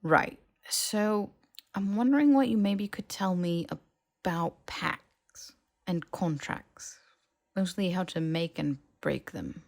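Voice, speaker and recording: A woman voicing an awkward, evasive young male wizard in audiobook dialogue, halting and hedging as they go. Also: lowish voice, creaky, a little mellow. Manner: halting, hedging, stumbling over phrases.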